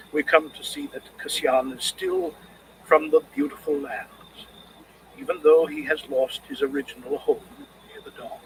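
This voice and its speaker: A man reading scripted literary criticism as an audiobook narrator, in a measured, expressive way. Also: fairly high pitch, a little hiss or hum, rough recording.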